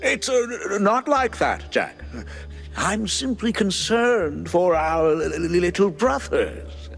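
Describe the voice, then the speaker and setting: Casting: higher-voiced, creaky, genteel rodent tipster, stammering haltingly, with lively swoops. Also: male voice, faint music behind, full character voice. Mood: hesitant, awkward. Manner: halting stutter, genteel phrasing. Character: nervous, polite, stammering male rodent informant. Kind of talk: noir cartoon game acting.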